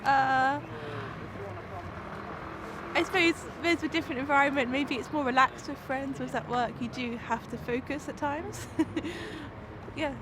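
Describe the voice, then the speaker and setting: A woman's voice: high-pitched, measured pace, long pauses, noisy background. A woman giving a light, easygoing street interview answer.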